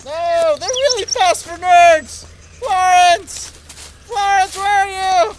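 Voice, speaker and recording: An actor playing an excitable, panicky teenager in a comic larping audio drama. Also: very high-pitched, takes it slowly, background noise. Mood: panicked. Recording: music behind the voice.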